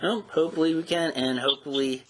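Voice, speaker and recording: A man hosting an interview in a measured, polite conversational way, his phrases often trailing downward. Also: on the high side, steady tone. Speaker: host, interviewer.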